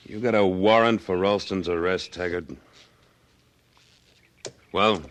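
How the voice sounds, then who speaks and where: An actor playing a tough, independent cattleman in a radio western, laconic and deadpan. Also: low voice, slow pace. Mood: cool defiance. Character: tough, dry, independent cattleman of the Old West. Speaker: lead actor and narrator. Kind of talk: 1950s radio western acting. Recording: vintage radio drama recording.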